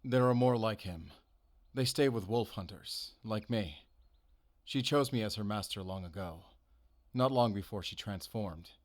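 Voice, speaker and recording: A man acting in an audio roleplay as a guarded, middle-aged werewolf hunter, weary and stern yet gentle, speaking in a measured, earnest way. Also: on the low side, dry recording, natural voice. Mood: grave, businesslike.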